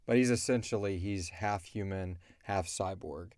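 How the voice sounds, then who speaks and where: A man's voice: sits low, normal speed, a little mellow, dry recording, studio quality. A man hosting a podcast in a casual, chatty style. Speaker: podcast host and voice actor. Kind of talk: casual chat. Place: recording booth.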